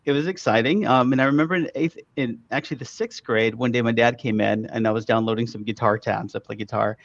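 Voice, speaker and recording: A middle-aged man from Chile, a marketing expert, telling his story in a relaxed way with fillers. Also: mid-range voice, quick pace, no echo, highs cut off. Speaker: guest, digital marketing expert. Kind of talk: casual interview storytelling.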